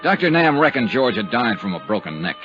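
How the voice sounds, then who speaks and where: An actor playing a dogged, wry insurance investigator in a 1950s radio detective drama. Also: male voice, lowish voice, sing-song, fairly quick, a bit bright. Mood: wry, clipped. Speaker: radio drama lead and narrator. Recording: dull old 1950s radio recording, music behind.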